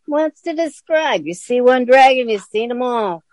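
Woman as petty-thief knave, high, flippant, offhand, dry studio sound, comic radio play. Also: expressive, unhurried, a little mellow, no room sound. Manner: flippant, casual. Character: offhand, cheeky male knave and tart thief. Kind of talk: comic parody radio drama.

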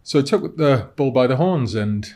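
A British man in his thirties telling his personal story conversationally as a podcast guest, reflective and a little halting. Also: medium pitch, expressive, darkish tone. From Cardiff, Wales. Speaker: podcast guest, content creator and model. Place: podcast studio. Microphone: close studio mic on desk stand.

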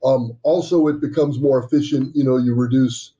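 A man's voice: mid-range voice, fairly even intonation, moderate pace, mellow. A man in his sixties talking conversationally as a guest in a business interview over a video call. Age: 60s. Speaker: business development director, podcast guest. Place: indoor office room, on a video call. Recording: dull, lo-fi video call audio.

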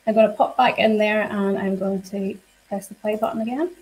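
A woman at her desk, talking through a screen-shared software demo for teachers. She explains each feature in a friendly, step-by-step way, with plenty of "um"s, and she sounds like she is speaking into a computer mic. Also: mid-range voice, steady tone, brisk, poor audio. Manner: friendly and explanatory, many fillers. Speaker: software trainer.

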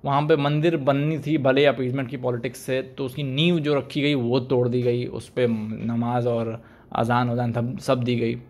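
A man's voice: medium pitch, level tone, normal speed, darkish tone. A young man talking to camera as a video commentator, arguing pointedly and asking rhetorical questions, recorded with a desk microphone in a small room. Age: young adult. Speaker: YouTube commentator. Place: small indoor room, plain wall. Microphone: desk microphone in front.

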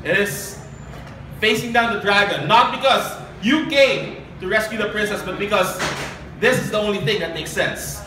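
A young man performing spoken word poetry live, earnest and deliberate, with dramatic pauses. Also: high-pitched. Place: small live open-mic event. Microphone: handheld and stand microphone, heard from a distant camera. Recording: distant, very echoey, noisy live recording.

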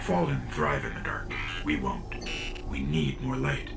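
An actor playing a small floating robot companion in a science-fiction game, eager, anxious and protective, speaking with earnest urgency. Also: male voice, mid-range voice, wildly swooping pitch, unhurried, gravelly, heavily acted. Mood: hushed, wary. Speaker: guide character in a science-fiction shooter game. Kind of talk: cinematic game voice acting. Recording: loud cinematic music behind the voice. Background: loud music.

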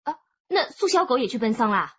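An actress playing a polite, inquisitive police detective in a radio drama, courteous and questioning. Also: female voice, a little high, sing-song, fairly quick, a bit bright, husky, lo-fi sound, full character voice. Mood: polite, curious.

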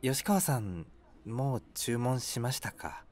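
A man's voice: mid-range voice, lively intonation, takes it slowly, darkish tone. An actor playing an awkward, flustered young man on a date in an audio drama, polite and stammering. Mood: nervous. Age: young adult. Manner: hesitant, stammering.

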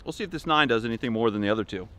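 A man's voice: medium pitch, lively intonation, racing, fairly bright tone, clean tone. A man in his thirties talking casually to camera outdoors as a vlog host, with a laid-back, wry, informal manner. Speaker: host of a shooting channel. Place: outdoors at a wooded backyard shooting range.

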